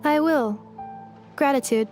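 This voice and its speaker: A woman's voice: high voice, slow pace, darkish tone, faint music behind. A woman voicing a polite, literal-minded female robot in a video game, speaking in formal, precise, emotionless phrasing. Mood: inquisitive. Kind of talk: video game character voice acting.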